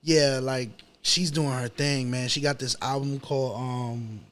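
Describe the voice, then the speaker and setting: A man's voice: medium pitch, fairly even intonation, measured pace, fairly bright tone. A man with a New York accent speaking informally and with slang as a guest in a casual interview. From New York City. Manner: informal, slangy, repeats himself. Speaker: rapper, podcast guest.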